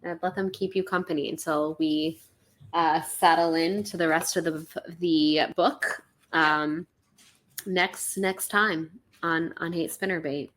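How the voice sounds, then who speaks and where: Chatty, bright-voiced woman podcaster. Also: sits low, steady tone. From Florida. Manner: quick, chatty, with many likes and ums. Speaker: podcast co-host. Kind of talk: casual chat.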